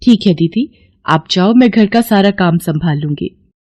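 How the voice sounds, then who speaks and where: A woman voicing a meek, gentle young daughter-in-law in a melodramatic story, polite, sweet and submissive. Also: mid-range voice, lively intonation, measured pace, breathy edge. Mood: obedient. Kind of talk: melodramatic Hindi storybook acting.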